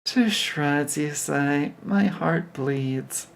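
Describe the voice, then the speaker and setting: A man's voice: on the high side, very slow, creaky, exaggerated voice. A man voicing a flippant young female slayer. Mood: sarcastic. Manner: flippant, teasing, sarcastic. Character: confident, sarcastic young woman demon slayer.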